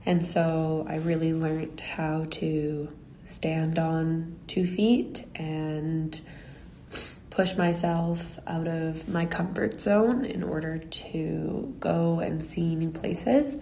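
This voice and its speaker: Slow, mellow-voiced young woman. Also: sits low, steady tone, vocal fry, a little hiss or hum. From Canada. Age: early 20s. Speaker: guest. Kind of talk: telling a personal story.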